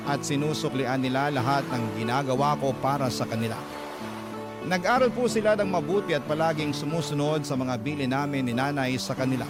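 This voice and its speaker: A man's voice: medium pitch, natural voice. A man acting a devoted single parent who narrates a life story in a radio drama, earnest and confiding. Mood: tender, grateful. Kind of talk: dramatized first-person scripted narration.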